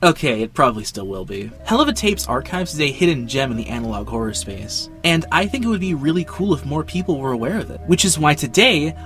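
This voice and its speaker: A man's voice: fairly high pitch, a bit bright. A young man hosting a video essay with casual scripted narration and dramatic emphasis. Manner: dramatic emphasis with jokey asides. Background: background music.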